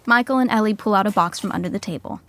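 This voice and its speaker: A woman's voice: medium pitch, racing, fairly bright tone, dry recording. A woman narrating a scripted podcast sitcom in a dry, matter-of-fact way, setting the scenes.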